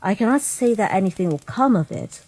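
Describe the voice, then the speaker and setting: A woman voicing a gentle but wounded motherly monster queen in a comic dub, speaking formally in measured, solemn tones. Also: medium pitch, lively intonation. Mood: solemn, conflicted. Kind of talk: dramatic comic dub acting.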